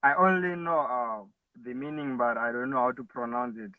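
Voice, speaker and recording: Male podcast host, halting questions, thin phone-line sound, distant from the mic. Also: medium pitch, slight background noise. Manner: halting, searching for words. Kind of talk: casual interview.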